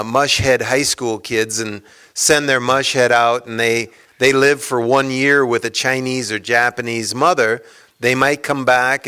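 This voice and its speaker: A man's voice: mid-range voice, bright, forceful tone, clean tone. A man, an author, speaking to an audience about his book in a loose, rambling way with many fillers and restarts. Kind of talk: casual lecture talk with audience Q&A.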